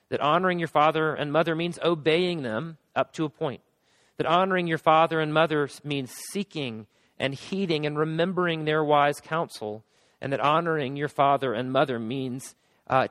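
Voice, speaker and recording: An earnest man preaching a sermon, his voice sitting a little high and wearing hoarse. He circles back and restarts his phrases as he goes. His words ring out with a long reverb, as in a large hall. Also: fairly bright tone. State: voice going hoarse. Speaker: pastor.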